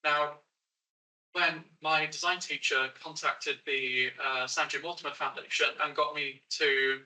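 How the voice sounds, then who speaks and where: An eighteen-year-old man, loud and chatty, telling his story with rambling asides. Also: on the high side, steady tone, a little slow. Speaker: young maker and guest. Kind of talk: casual spoken story. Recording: roomy sound from a distant mic.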